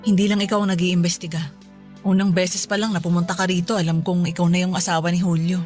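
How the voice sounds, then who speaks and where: A woman's voice: lowish voice, little pitch movement, quick pace, a little mellow, soft background music, close to an everyday voice. A woman playing a sly young mistress in a Filipino radio drama, feigning innocence with a smug, teasing manner. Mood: smug, defiant.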